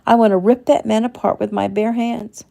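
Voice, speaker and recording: A woman's voice: fairly high pitch, flat delivery, normal speed, vocal fry, voice pushed up. A woman playing a furious, tearful patient. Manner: shouting, near tears.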